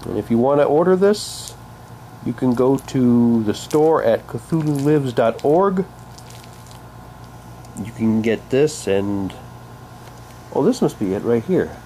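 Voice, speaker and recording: Guy with a soft dark voice doing a rambly hobby unboxing at home, bit of room noise on the camera mic. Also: medium pitch, animated, takes it slowly, pauses often, poor audio. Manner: rambling, unscripted, trailing off and repeating himself. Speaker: hobbyist vlogger. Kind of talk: casual unboxing commentary, sometimes reading aloud. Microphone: handheld camera's built-in microphone.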